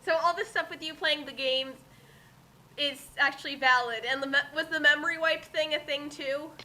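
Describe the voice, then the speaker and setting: A woman's voice: high-pitched, fairly even intonation, moderate pace, bright, forceful tone. A woman on an online group call, hosting a dramatic fanfic reading that mixes theatrical delivery with casual chat.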